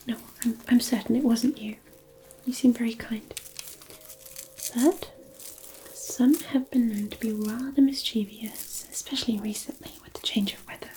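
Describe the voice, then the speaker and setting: A woman playing a gentle, kindly forest fairy in a soothing fantasy ASMR roleplay, murmuring softly and reassuringly. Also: on the high side, steady tone, moderate pace, a little hiss or hum, close to an everyday voice, low-quality recording.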